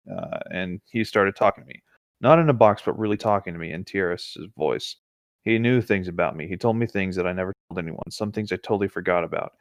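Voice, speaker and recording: Low-voiced man, very dry sound. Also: no room sound. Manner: informal, conversational. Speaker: co-host reading internet horror stories. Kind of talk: casual banter and story reading.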